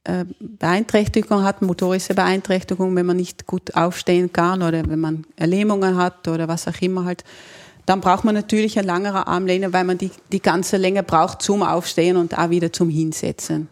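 A woman's voice: lowish voice, steady tone, normal speed. A woman ergonomist with a Dutch accent, a native Dutch speaker, talking in an interview and searching for words now and then. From Netherlands. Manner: thoughtful, searching for words, occasional grammar slips. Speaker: ergonomist, guest. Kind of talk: conversational interview.

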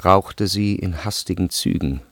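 A man narrating a literary radio play in a measured, precise manner, with a creaky voice. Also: low voice, fairly bright tone, dry recording. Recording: studio voice with music behind. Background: music.

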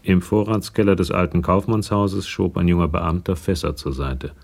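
A man's voice: low voice, fairly even intonation, moderate pace, creaky, a little mellow. A man narrating a radio crime drama in a sober, scripted way. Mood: measured, sober. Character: sober male narrator of a police crime story.

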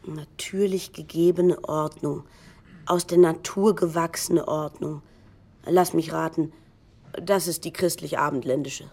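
A woman's voice: deep voice, fairly even intonation, a little slow, darkish tone, breathy edge, exaggerated voice. A woman playing a wry, needling narrator in a radio documentary drama, with an ironic, pointed way of talking. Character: wry, needling female narrator commenting on constitutional debates.

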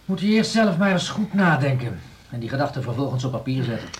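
A man's voice: mid-range voice, sing-song, quick pace, a little hiss or hum, close to an everyday voice. A man acting a stubborn string teacher in a radio play. He has a mid-range voice and a blunt, dismissive, sceptical delivery.

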